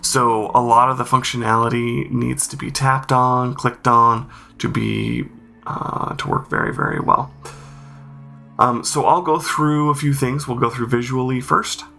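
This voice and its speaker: Man, reviewer, informal walkthrough commentary, creaky bright voice, hesitant explanatory delivery, music behind. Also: medium pitch, a little slow. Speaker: host, game accessibility reviewer.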